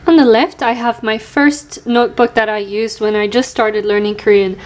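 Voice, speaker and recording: A woman's voice: on the high side, fairly even intonation, moderate pace, a little mellow. A woman in her twenties chatting casually and self-deprecatingly as she shows her study notebooks, laughing now and then. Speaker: language learner vlogger. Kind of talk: casual show-and-tell narration.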